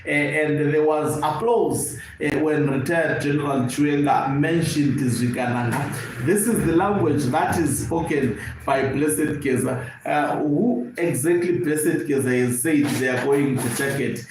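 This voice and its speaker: In a very echoey room with faint noise, a man with a Zimbabwean accent delivers live political analysis. He phrases things deliberately and comes back to key words for emphasis. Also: a little high, a little mellow. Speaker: online news channel host and political analyst.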